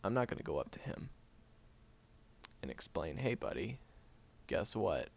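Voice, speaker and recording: A low, heavily creaky young man's voice acted by a man in an intimate audio roleplay, blunt and defensive with a caring core. He talks straight to the listener in a halting, grumbling way, his pitch moving freely, on a slightly dull home recording. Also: big pitch swings, darkish tone, exaggerated voice. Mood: exasperated, sarcastic. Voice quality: gravelly, low. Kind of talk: audio roleplay acting, one-sided dialogue addressed to the listener.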